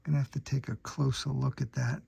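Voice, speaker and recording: A man narrating an unscripted how-to about a repair, speaking methodically and haltingly in short phrases with pauses between them. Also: medium pitch, flat delivery, gravelly, dark tone, slightly rough, no room sound. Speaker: radio restorer and presenter.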